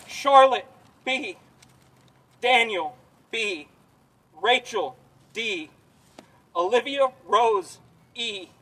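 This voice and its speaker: A man at an outdoor rally slowly reading a list of victims' names in a somber, measured way. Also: extremely high pitch, fairly bright tone. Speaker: rally speaker. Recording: voice distant from the mic.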